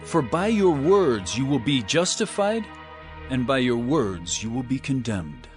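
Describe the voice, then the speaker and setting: A man's voice: mid-range voice, big pitch swings, measured pace, soft background music. An actor playing a stern, earnest male teacher with authority, declaiming emphatically in a dramatized scripture production. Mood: stern rebuke.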